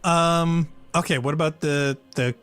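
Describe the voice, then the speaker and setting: A man's voice: a little high, steady tone, unhurried, fairly bright tone, clean tone, soft background music. A man hosting a let's play, chatting casually as he comments on a game.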